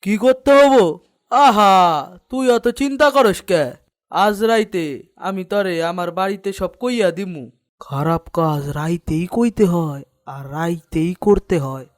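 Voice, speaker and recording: A man's voice: high-pitched, lively intonation, unhurried. A man voicing a sly, greedy older village headman in a cartoon, speaking in an East Bengali dialect with a self-important, conspiratorial manner. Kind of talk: cartoon dialogue acting.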